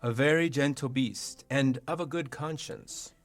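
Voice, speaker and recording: Young man as courtly duke for Shakespeare radio play; medium voice, measured, dryly amused commentary. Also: mid-range voice, soft background music, close to an everyday voice. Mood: wry amusement.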